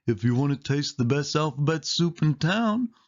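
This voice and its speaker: A man's voice: a little high, sing-song, moderate pace, lo-fi sound, pitched above the performer's own voice. A man voicing a bumbling, good-natured male cartoon dog with a dopey manner for a children's storybook. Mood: dopey, enthusiastic.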